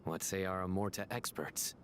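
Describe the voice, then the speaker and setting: Man playing a low-voiced, composed scholar. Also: moderate pace, a little hiss or hum, close to an everyday voice. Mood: calm. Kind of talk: anime-style video game voice acting.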